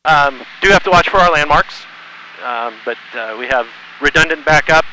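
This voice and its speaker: A middle-aged man giving casual, halting running commentary as a pilot thinking aloud while he flies a small plane, speaking through an aviation headset. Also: a little high, sharp tone, dull audio. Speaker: student pilot flying solo, narrating for video. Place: cockpit of a small plane in flight. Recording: buzzy band-limited headset audio with engine noise.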